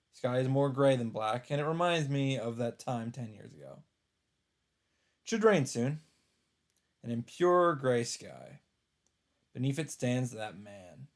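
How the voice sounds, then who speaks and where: A man reading a visual novel script aloud as dramatic narration, with deliberate, drawn-out phrasing. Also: mid-range voice, darkish tone. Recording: music under the voice. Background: music.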